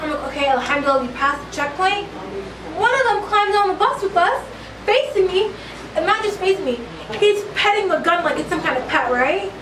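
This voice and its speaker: High-voiced young woman telling a wild story. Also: a little fast, crowd noise. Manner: animated, slangy, dramatic retelling with acted quotes. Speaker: interviewee. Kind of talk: casual storytelling. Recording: echoey, distant, noisy street recording.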